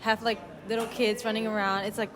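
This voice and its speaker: A young woman student speaking softly in a casual testimonial, her sentences trailing off. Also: mid-range voice, level tone, fairly quick, crowd noise. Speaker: summer school student.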